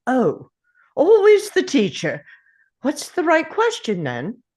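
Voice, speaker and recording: A woman's voice: high voice, wildly swooping pitch, slow pace, a little mellow, exaggerated voice. A woman playing a brooding, anxious time traveller who talks aloud in a dramatic script read-through. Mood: exasperated. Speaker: actor at a table read.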